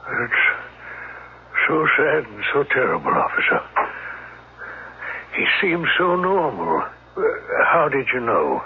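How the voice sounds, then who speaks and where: An actor playing an anxious, bewildered driver, asking questions in a shaken, uneasy manner in a radio drama. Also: male voice, medium pitch, huge pitch swings, takes it slowly, pauses often, a little hiss or hum. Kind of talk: 1970s radio drama acting. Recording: muffled, narrow-band vintage radio broadcast.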